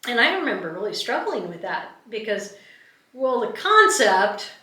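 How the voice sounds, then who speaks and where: A middle-aged woman talks casually, as a podcast co-host in a conversational discussion. Her voice is bright and forward in tone, with a middling pitch. The recording is echoey, with her sitting back from the microphone. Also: very animated, normal speed. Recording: roomy echo, distant from the mic.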